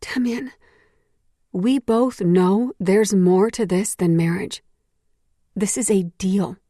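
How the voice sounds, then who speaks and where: A woman voicing an anxious young woman in an audiobook, speaking in soft, earnest, pleading lines. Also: medium pitch, slow, no echo, studio quality. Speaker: audiobook voice actor. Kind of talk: audiobook dialogue acting.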